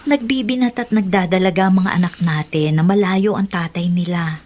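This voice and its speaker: An actress playing a practical, principled wife and mother in a radio drama, persuasive and quick to exclaim. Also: on the low side, a little mellow, close to an everyday voice. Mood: persuading, coy. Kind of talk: Tagalog radio drama acting.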